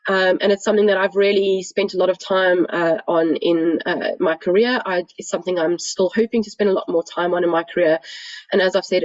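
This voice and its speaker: A South African woman speaks over a video call from home, her voice croaky from a cold. She presents in an earnest, polite way, pausing often to find her words. The sound is dull and lo-fi, with a dry, close feel. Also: fairly low pitch, a little mellow, dry recording. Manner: earnest, polite, frequent hesitations. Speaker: guest speaker. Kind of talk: webinar presentation. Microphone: computer mic on a video call. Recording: dull, dry lo-fi webinar audio.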